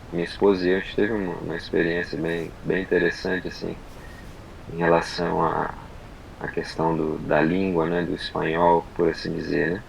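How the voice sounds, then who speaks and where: Deep gravelly Brazilian man. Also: slight background noise, poor audio. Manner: unhurried, halting, many fillers and restarts. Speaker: podcast guest. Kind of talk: casual testimony chat.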